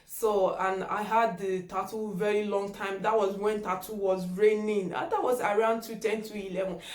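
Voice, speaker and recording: A woman's voice: mid-range voice, steady tone, normal speed, a little mellow. A woman of about thirty with a Nigerian accent, a native Yoruba speaker, chatting casually as a vlogger and repeating words for emphasis. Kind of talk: casual chat answering tag questions. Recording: echoey room.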